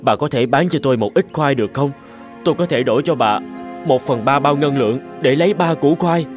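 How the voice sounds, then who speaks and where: An actor playing a greedy, foolish poor peasant in a cartoon folk tale, whining and grumbling. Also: male voice, mid-range voice, fairly quick, music in the background, close to an everyday voice. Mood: pleading.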